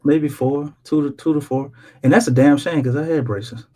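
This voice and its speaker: A man's voice: on the high side, normal speed, a little mellow. An American man hosting a live sports show, chatting casually with slang, jokes and some swearing. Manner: slangy, joking, profane.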